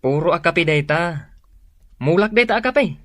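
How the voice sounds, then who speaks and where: Lively Ilocano farmer. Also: male voice, a little high, unhurried, dry recording. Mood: modest. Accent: Ilocano Filipino. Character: friendly, obliging single male farmer, an old classmate. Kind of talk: Ilocano radio drama acting.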